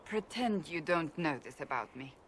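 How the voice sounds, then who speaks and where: An actress playing a proud, gruff warrior woman in a fantasy video game, clipped, defensive and emphatic. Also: low-pitched, expressive, normal speed. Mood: sheepish, fervent. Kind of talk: cinematic game dialogue acting. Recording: faint background noise.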